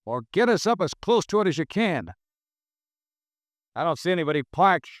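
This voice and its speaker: An actor playing a brisk, skeptical man in a 1950s radio drama. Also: fairly high pitch, big pitch swings, dry recording, studio quality. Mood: urgent.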